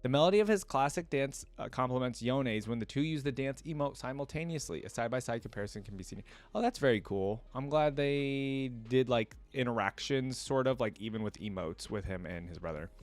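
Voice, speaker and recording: A man's voice: medium pitch, expressive, a little fast, few pauses. A man hosting a reaction video, thinking aloud and talking to viewers in an informal, slangy, chatty way.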